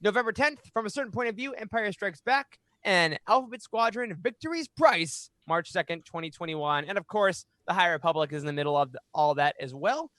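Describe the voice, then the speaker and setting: Man, thirties, high-pitched, podcast host, animated delivery, close mic, dry sound. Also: brisk, few pauses, no echo. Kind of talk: reading aloud and hosting a fan podcast. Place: small home room, indoors. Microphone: close studio microphone on boom arm.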